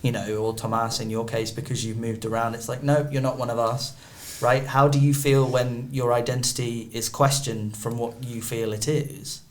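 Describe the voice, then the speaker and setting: A man hosting a casual interview chat, lively and probing with his follow-up questions. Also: mid-range voice, moderate pace, few pauses. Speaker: podcast host.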